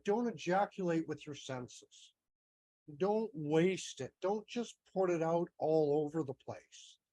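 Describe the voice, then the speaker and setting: A man's voice: high-pitched, a little mellow. A man with a Canadian accent giving a casual, meandering talk, thinking aloud with many fillers and restarts. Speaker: astrology teacher.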